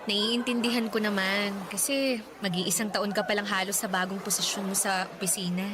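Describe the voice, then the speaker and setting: A woman acting a young woman torn between two men in a radio drama, soft and apologetic. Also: medium pitch, fairly even intonation, normal speed, natural voice. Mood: gentle. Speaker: lead character in radio drama. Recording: audible background hiss.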